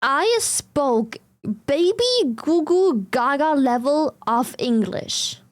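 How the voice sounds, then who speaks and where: German-accented woman streamer, high voice, blunt and giggly, casual chat on a dry mic. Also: lively intonation, slow, dry recording. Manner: laughs often, swears casually, blunt.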